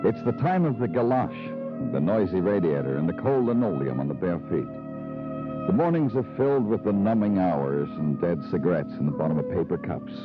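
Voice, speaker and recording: A low-voiced hardboiled homicide cop from an old radio crime serial, weary and wry. His delivery swings from brooding to clipped and dry, with pitch that rises and falls widely. It comes through a muffled vintage recording with music behind it. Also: very animated, moderate pace. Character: hardened male New York homicide detective, weary, wry narrator. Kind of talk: 1950s radio crime drama acting, moody narration.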